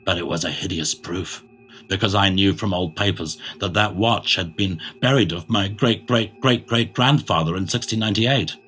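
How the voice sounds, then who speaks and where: A man giving a theatrical, dramatic narration of a gothic horror tale, phrasing it deliberately, with music behind him. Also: sits low, pushed and bright.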